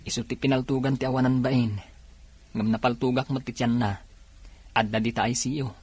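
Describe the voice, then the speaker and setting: A man's voice: medium pitch, little pitch movement, a little fast, a little mellow, breathy edge, close to an everyday voice. A man playing a wounded patient in a comedic radio drama, casually brushing off everyone's worries. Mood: wry. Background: music under the voice.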